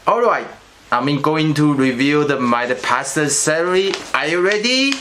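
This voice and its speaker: Slow-speaking middle-aged man, Chinese accent. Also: a little high. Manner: slow and careful, with restarts on small words. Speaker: channel host. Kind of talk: personal vlog monologue.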